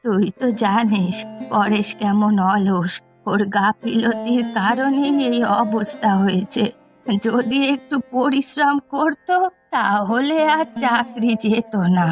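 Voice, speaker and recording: A weary village mother in a fairy-tale cartoon, acted by a woman. She complains plaintively and slowly about her burdens, with faint music behind her. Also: fairly high pitch. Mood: weary complaint.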